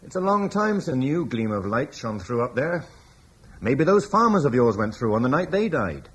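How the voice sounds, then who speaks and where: A blunt British war chief. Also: male voice, moderate pace, slightly rough. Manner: blunt and plain-spoken, lively pitch. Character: proud battle-hardened British war leader, blunt. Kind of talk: radio drama acting.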